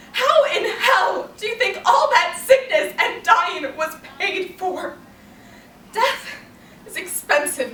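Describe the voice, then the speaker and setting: Roomy distant recording, young female actor as bitter anguished woman, high piercing voice, theatrical drawn-out delivery, stage drama. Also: close to an everyday voice. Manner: theatrical, drawn-out, swelling to shrill outbursts. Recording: roomy, distant mic, faint noise.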